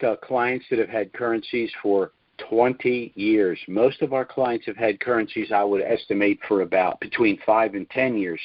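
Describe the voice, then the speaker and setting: A man hosting a conference call, giving an informal update in a measured, hesitant way. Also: lowish voice, dry recording. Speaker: host of an investment conference call. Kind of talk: informal spoken update to callers. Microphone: conference call line. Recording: dull lo-fi conference call audio.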